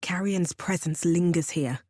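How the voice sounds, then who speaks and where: A woman voicing a watchful, wry fantasy adventurer and spellcaster for a video game, in measured, dramatic delivery. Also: on the low side, dark tone, dry recording, studio quality. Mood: musing, wry. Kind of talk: scripted video game voice lines, exploration and combat barks.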